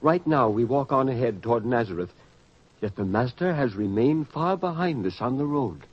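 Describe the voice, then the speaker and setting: A worried earnest disciple, raspy voice, grave and hesitant, old radio drama, lo-fi sound. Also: male voice, mid-range voice, expressive, normal speed. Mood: troubled. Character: troubled, earnest disciple prone to challenge his companions. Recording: dull lo-fi radio drama recording.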